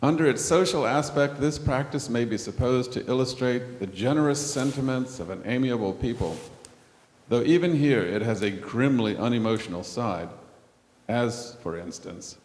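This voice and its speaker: The recording is roomy, with a long hall reverb. A man of medium pitch reads formal historical prose aloud to a class. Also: moderate pace, darkish tone. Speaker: class participant reading aloud.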